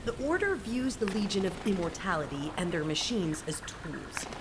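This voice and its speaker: An actress playing an embittered, vengeful masked assassin in a video game. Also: female voice, lowish voice, big pitch swings, normal speed, noisy background. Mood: cold, vengeful. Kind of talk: dramatic game cutscene acting and narration. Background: music.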